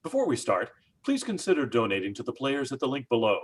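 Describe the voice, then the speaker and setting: Husky, ominous male radio horror announcer. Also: mid-range voice, wildly swooping pitch, quick pace. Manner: ominous, theatrical. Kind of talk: old-time radio horror introduction, scripted narration.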